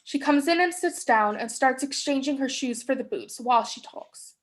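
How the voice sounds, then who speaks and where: A young woman gives scripted narration of stage directions for a play reading. Her voice sits high as she describes the action. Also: moderate pace. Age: young adult.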